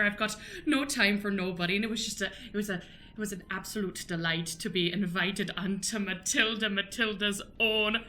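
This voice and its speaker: A woman acts out a cheery, folksy shopkeeper from a comic radio play. Her voice is bright and keen, and she gushes kindly in homely, old-fashioned phrases. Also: medium pitch, close to an everyday voice. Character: folksy, cheery woman flower shop owner, eager and kindly. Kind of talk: improvised comedic radio play acting.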